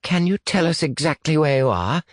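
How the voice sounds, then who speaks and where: Formal young British man, played by a male narrator, raised pitch, clipped and anxious, for an audiobook. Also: lively intonation, normal speed, no room sound, studio quality, lightly acted. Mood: guarded. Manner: stiff, formal, precise wording.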